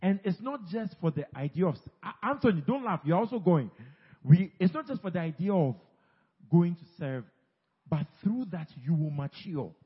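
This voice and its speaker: Male pastor, husky, urging, echoing church hall, muffled sound. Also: high-pitched, lively intonation, moderate pace. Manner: urging, repeats phrases, teases congregation members. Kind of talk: sermon exhortation.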